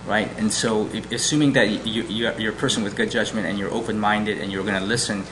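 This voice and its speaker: A man's voice: on the low side, steady tone, brisk, noisy background. Man who stumbles over repeated starts. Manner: repeats words when starting sentences. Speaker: panelist. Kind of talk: panel discussion.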